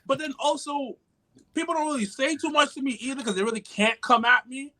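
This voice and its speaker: A man from New York with a New York accent, talking loud and profane as a podcast guest, laughing at himself. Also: very high voice, brisk. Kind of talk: casual chat.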